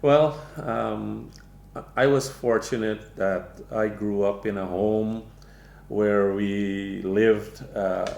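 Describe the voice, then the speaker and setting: A man in his sixties from Guyana, a guest speaking in an interview, slow and measured with many pauses and fillers. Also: on the low side, steady tone, vocal fry, darkish tone, reverberant. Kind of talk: interview conversation.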